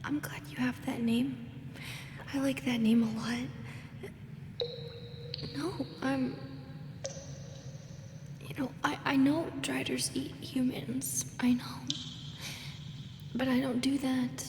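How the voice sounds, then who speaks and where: A woman plays a lonely female drider, a wounded monster girl with a high, breathy voice that often sinks to a whisper. She speaks slowly and haltingly in audio roleplay style, with long cavernous reverb around her. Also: level tone. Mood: tender, pleading. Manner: halting, emotional, repeating herself. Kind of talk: roleplay audio drama acting. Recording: echoey, long reverb, background noise.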